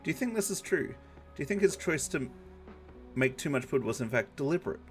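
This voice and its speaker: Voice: mid-range voice, lively intonation, fairly quick, faint music behind. Someone chatting casually and jokingly as the host of a group discussion, using playful gamer slang.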